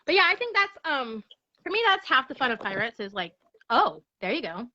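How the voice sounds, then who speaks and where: A woman in relaxed conversation at home over a video call, chatty and laughing often. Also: high voice, a little fast, lo-fi sound. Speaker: guest. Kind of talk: casual chat. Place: her apartment.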